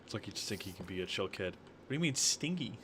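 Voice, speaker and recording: A man chatting casually with friends while playing a game, jokey and quick to chuckle over a noisy voice chat. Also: on the low side, expressive. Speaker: gamer playing Minecraft with friends.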